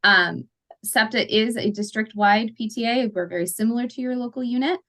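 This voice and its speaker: A woman's voice: fairly high pitch, normal speed, fairly bright tone. A woman hosting an online parent group meeting, talking casually with fillers and introducing speakers.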